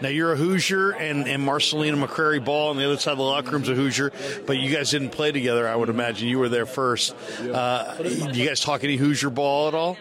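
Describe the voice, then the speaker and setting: Male American sports reporter, conversational interviewer, mid-range voice, recorded amid clear locker-room chatter. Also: medium pitch, steady tone, brisk. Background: crowd chatter.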